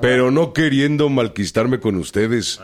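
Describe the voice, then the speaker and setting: An actor playing a proud, hot-tempered revolutionary chief with a Mexican accent and blunt, defiant delivery in a radio drama. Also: male voice, mid-range voice, unhurried, crowd noise, lightly acted. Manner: blunt, defiant, drawling commands.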